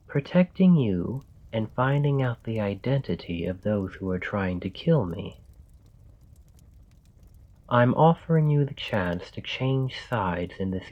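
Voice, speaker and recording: A man playing a calm, calculating royal in an ASMR roleplay, speaking in a measured, formal and deliberate way. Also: lowish voice, lo-fi sound. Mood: calculating, persuasive. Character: calm, calculating male royal bargaining with his assassin. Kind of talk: ASMR roleplay, scripted dramatic monologue.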